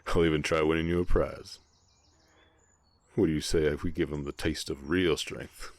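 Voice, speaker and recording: A very deep man's performance of an orc ranch hand speaks with an American Southern drawl in a western romance audio drama. He is gruff yet gentle, shy and protective, often halting over his words. The sound is dry and intimate. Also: no echo, heavily acted. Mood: easygoing. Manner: bashful, halting, softly protective.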